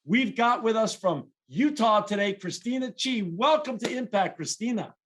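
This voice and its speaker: A man's voice: sits high, expressive, moderate pace, a bit bright. A man hosting an interview podcast, asking eager questions with frequent restarts. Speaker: podcast host.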